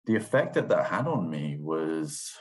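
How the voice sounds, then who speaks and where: A man's voice: rumbling low, big pitch swings, unhurried. A man in his thirties, raised in England after a childhood that began in Thai, talking reflectively and hesitantly as a podcast guest. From England, grew up in Swindon. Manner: reflective and hesitant, with many fillers and restarts. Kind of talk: casual interview.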